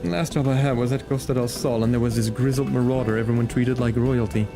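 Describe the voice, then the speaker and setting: A man's voice: mid-range voice, steady tone, fast talker, over music, lightly acted. A man voicing a boastful, shifty male axeman mercenary from a game, blustering and stammering. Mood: pleading, sheepish. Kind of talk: reading game dialogue aloud in character.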